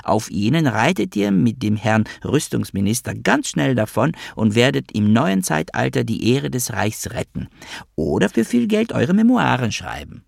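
A man voicing a doting yet sinister mother with a high feminine voice, coaxing in a sugary way for an audiobook. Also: big pitch swings, moderate pace, vocal fry, dry recording, studio quality, exaggerated voice. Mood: sweetly coaxing. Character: doting, sinister mother soothing her children. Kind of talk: audiobook character voice.

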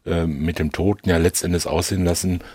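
A man discussing film reflectively in halting, long-winded, analytical sentences. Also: deep voice, flat delivery, a little mellow, husky, no room sound, studio quality. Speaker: podcast co-host discussing films.

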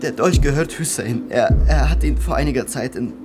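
A man's voice: medium pitch, normal speed, natural voice. An actor in a radio drama playing a frightened young refugee man who stammers and repeats his words as he pleads for shelter. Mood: agitated, urgent. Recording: clear music behind the voice.

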